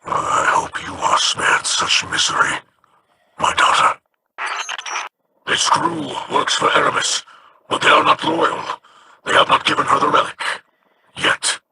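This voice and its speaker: An actor voicing a weary alien pirate elder in a video game, speaking solemnly. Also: male voice, on the high side, flat delivery, measured pace, long pauses, background noise, lightly acted. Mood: grave. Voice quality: solemn, gravelly.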